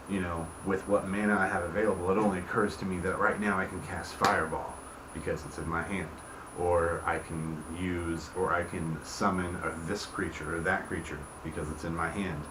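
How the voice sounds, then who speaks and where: A man giving an informal explanation as host, often checking in with the listener with small tag questions. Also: low voice. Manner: checks in often with "okay", "right", "you see what I'm saying". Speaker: host, game creator explaining rules. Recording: roomy echo, clear background noise.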